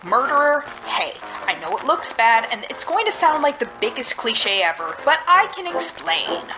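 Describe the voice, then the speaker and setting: Hapless grumbling gumshoe for a musical game: highish, lively, flustered, loud music behind. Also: female voice, moderate pace, low-quality recording. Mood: flustered, protesting. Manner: flustered, complaining. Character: hapless, anxious, grumbling detective of ambiguous gender. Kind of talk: musical detective game voice acting.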